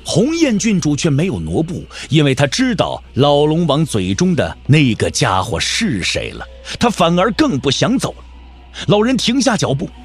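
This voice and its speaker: Lively male storyteller, high-pitched and animated. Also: normal speed, slightly rough, soft background music. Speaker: narrator of an audio drama. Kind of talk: dramatic scripted narration of martial-arts fiction.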